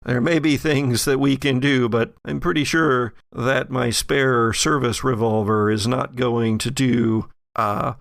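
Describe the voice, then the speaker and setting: Gravelly, blunt federal agent played by a man. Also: mid-range voice, fairly even intonation, measured pace, few pauses, dry recording, studio quality. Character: gruff male federal agent, wry and unimpressed.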